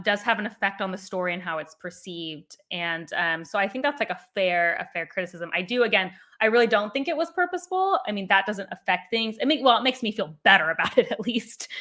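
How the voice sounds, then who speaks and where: A woman with a bright, forward voice hosts a casual chat about books. She rambles, with plenty of fillers and restarts. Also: mid-range voice. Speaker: booktube host. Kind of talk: casual book discussion.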